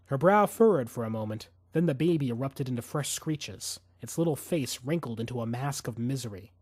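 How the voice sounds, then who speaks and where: A man reading scripted fiction as an audiobook narrator, in an expressive, suspenseful storytelling manner. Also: medium pitch, brisk, breathy edge, no room sound, studio quality.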